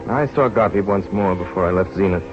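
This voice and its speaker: An earnest young doctor, played by a male actor in a vintage radio play, speaking very low. He recounts his life and argues his case sincerely. The old recording is dull, with clear music underneath. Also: brisk, close to an everyday voice. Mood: reflective. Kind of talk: 1930s radio drama acting and first-person narration.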